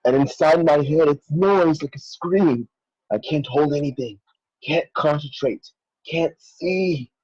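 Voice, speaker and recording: A man playing a tormented, blinded hero in a script table read, his words halting and strained. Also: a little high, a little mellow, slightly rough, no echo, lo-fi sound.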